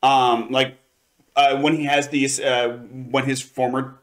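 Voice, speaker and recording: A man with a medium-pitched voice co-hosts a review show. He speaks in a casual, conversational way, leaning on emphasis and circling back to repeat his points. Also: flat delivery, unhurried. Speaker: co-host of an anime review show.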